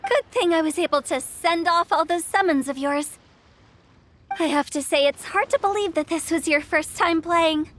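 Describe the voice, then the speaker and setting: Director Hu, high-voiced playful trickster. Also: female voice, normal speed, a bit bright. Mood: impressed. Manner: theatrical, teasing, mischievous. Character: playful theatrical young female funeral director. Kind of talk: video game character acting. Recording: music behind.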